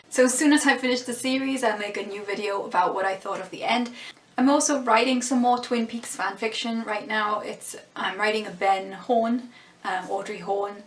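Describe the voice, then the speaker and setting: A woman's voice: medium pitch, echoey room. A woman vlogger talking through her thoughts on a TV series, musing and restarting her sentences, with a voice she says is going. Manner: thoughtful, musing, frequent restarts and small laughs. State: losing her voice. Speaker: vlogger reviewing a TV show. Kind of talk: casual vlog commentary.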